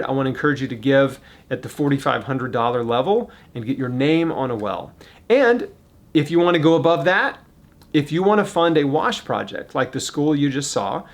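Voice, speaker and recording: An American man with a voice a little higher than average talks straight to his online audience. His delivery is casual and unscripted, with an earnest, encouraging tone as he makes an appeal. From United States. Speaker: YouTube host making a charity fundraising appeal.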